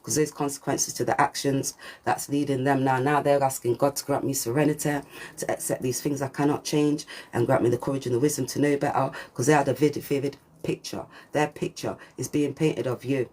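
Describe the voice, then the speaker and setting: A woman with a deep voice giving a channelled spiritual reading, preachy and repetitive, with swearing and slang. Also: fairly even intonation, a little fast, a little mellow. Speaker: spiritual reader. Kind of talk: channelled collective reading monologue.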